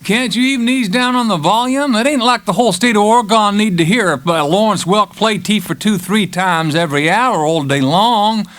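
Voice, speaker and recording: A man's voice: high voice, normal speed, hardly any pauses, fairly bright tone, voice pushed up. A man voicing a brash, brawling American gambler with folksy, blunt, wheedling speech in an audiobook. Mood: exasperated, pleading. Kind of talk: audiobook character acting.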